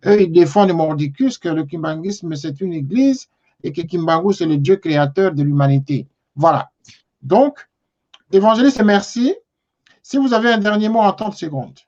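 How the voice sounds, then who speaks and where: A man hosting a broadcast talk show, his voice sitting a little high. He speaks politely and carefully, pausing often on fillers. The TV sound is dull and lo-fi. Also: animated, a little mellow. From Congo. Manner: polite, measured, many hesitations. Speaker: TV show host.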